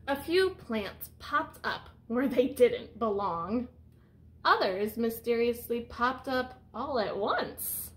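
A woman's voice: a little high, lively intonation, slow pace. A woman in her thirties reading a picture book aloud as a teacher, explaining simply and putting questions to young children. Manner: instructive, simple phrasing aimed at young children. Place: small room indoors.

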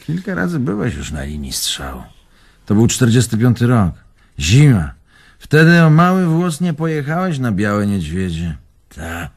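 An older, wistful bon vivant of a radio play, reminisces in a husky, gravelly voice. He takes his time, sighing and swooping expansively through his memories. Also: mid-range voice, very animated, measured pace, heavily acted. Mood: pensive. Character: nostalgic old bon vivant, former nightclub owner. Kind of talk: radio drama acting.

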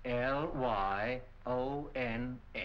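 A man in his sixties playing a mild, hesitant witness in a 1940s film. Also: medium pitch. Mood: mild, polite. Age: 60s. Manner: hesitant, with many pauses. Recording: dull, noisy 1940 film soundtrack.

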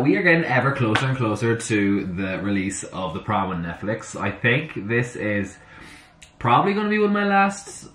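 A slightly hoarse young man chatting excitably to camera in his bedroom, talking to himself as he reacts to music. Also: fairly low pitch, noisy background. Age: young adult. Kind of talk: casual reaction chat. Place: bedroom at home, indoors. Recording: roomy bedroom with faint music. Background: soundtrack music playing faintly.

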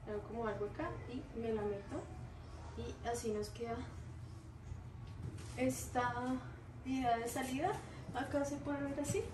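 A young woman with a high-pitched voice and a Colombian Spanish accent talks casually, as if to followers of her home vlog. Her phrasing is affectionate and full of diminutives. The recording is handheld in an apartment, with clear background noise. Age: young adult. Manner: affectionate, uses many diminutives. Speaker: vlogger and mother. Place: indoors in an apartment.

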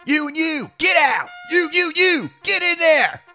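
Casting: bossy cartoon photographer male actor, sharp, creaky high voice, impatient barking. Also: animated, a little slow, faint music behind, full character voice. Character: bossy, brusque wedding photographer barking orders.

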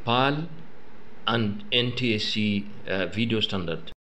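A man giving a step-by-step technical explanation in a methodical, measured, halting way. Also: on the low side, pushed and bright. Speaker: electronics repair instructor. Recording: dull lo-fi sound, faint noise.

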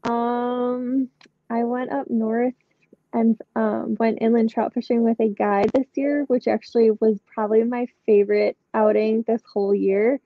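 A woman's voice: fairly high pitch, flat delivery, a little slow, no echo. A middle-aged woman, a podcast guest, chatting in an upbeat way and telling stories over a video call from a room at home. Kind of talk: casual interview chat. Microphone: webcam on a video call. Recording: dull lo-fi video call audio.

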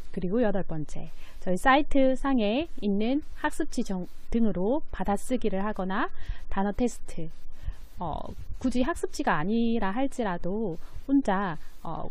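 A woman teacher walks through study steps at a very rapid pace. Her pitch moves in a lively way, and her tone is soft and mellow. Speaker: English teacher.

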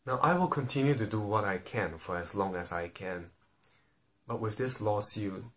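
Guy pleading with people, earnest, goes soft and slow on the big lines. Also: lowish voice. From Singapore. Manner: earnest, pleading, slows and drops quiet on key lines. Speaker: activist appealing to the public. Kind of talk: earnest public appeal.

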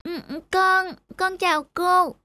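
A woman's voice: very high voice, fairly even intonation, slow pace, background noise. A woman voicing a shy, wistful little schoolgirl in a cartoon, polite and hesitant. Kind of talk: cartoon voice acting. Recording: loud music behind the voice.

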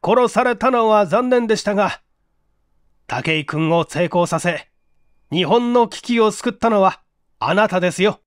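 Man playing an earnest, animated police detective. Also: high voice, smooth, no room sound, clean studio sound. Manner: polite, measured, explanatory. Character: earnest, courteous male police detective chief explaining a case. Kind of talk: dramatic audiobook dialogue.

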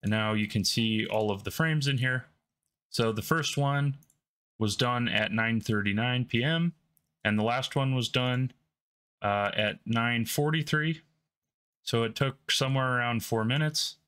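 A man hosting a tech channel, talking through a screen-recorded software demo in a relaxed way, thinking aloud with frequent ums, from his home workstation. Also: medium pitch, a little slow, clear, resonant tone.

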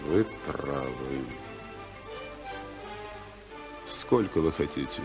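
An actor playing a sly, oily newspaperman offering bribes in a 1950s radio play, with insinuating, theatrical, drawn-out phrasing. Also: a bass voice, expressive, exaggerated voice. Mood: sly, reproachful. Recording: roomy 1955 radio recording, faint music.

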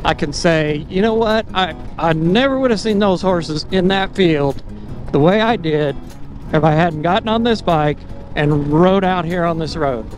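A man with a fairly high voice talks loosely while he rides a motorcycle, thinking aloud and chuckling at his own jokes. Music plays clearly behind him. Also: a little slow. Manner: rambling, self-amused, thinking aloud. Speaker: motorcycle vlogger. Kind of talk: casual ride commentary. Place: on a motorcycle on mountain roads.

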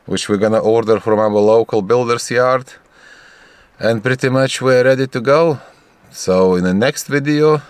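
A man in his forties gives a casual vlog update from a parked car. He speaks in a relaxed, halting way with many fillers. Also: lowish voice, clean tone, dry recording. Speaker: homesteader vlogger. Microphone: camera on the dashboard.

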